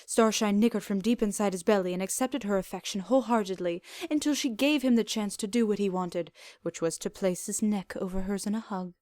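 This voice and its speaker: A woman reading fan fiction aloud as an expressive scripted narration. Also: medium pitch, fairly quick, hardly any pauses, dry recording, clean studio sound.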